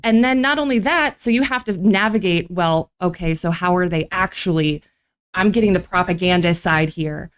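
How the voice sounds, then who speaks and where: A woman's voice: on the low side, normal speed, clean tone. Woman casually explaining things on a podcast. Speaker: guest, archaeology graduate.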